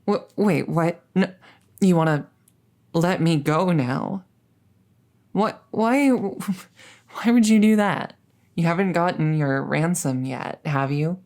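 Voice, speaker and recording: A woman playing a coy, flustered young crown princess in an intimate audio roleplay, speaking in a halting, stammering, nervous way. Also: on the low side, animated, a little mellow. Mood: flustered, reluctant. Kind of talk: intimate audio roleplay acting addressed to the listener.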